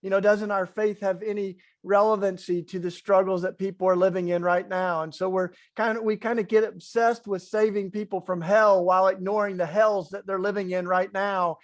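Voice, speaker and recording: A man's voice: sits high, little pitch movement, moderate pace, few pauses. A man with an East Tennessee accent, speaking earnestly and conversationally as a panelist in an online talk. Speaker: webinar panelist, faith organizer.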